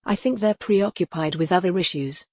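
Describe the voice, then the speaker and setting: A synthetic female text-to-speech voice playing a calm, wry retro computer who thinks she's a cat, deadpan in an audio comedy drama. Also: on the low side, normal speed, dry recording, clean studio sound. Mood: calm, matter-of-fact.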